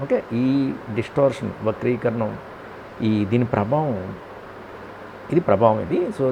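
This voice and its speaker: A man with a Telugu accent giving a step-by-step software tutorial, posing questions and answering them himself with frequent check-in tags. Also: mid-range voice, creaky, noisy background. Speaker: audio editing tutor.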